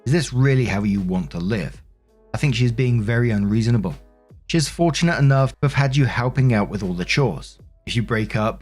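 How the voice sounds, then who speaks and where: A man's voice: mid-range voice, darkish tone. A man hosting a story show, reading posts aloud in a narrating style with casual commentary. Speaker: host narrating online stories.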